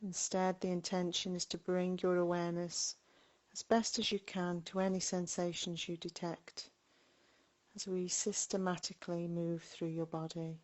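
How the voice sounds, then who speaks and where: A woman guiding a meditation in a gentle, unhurried manner, speaking in short phrases with long pauses between them. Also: on the low side, level tone. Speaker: meditation guide. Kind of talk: guided body scan meditation.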